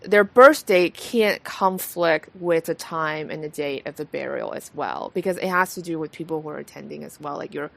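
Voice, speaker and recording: Low-voiced woman from Taiwan, gravelly. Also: normal speed, hardly any pauses. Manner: relaxed, many fillers and restarts. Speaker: podcast host. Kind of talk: casual conversation.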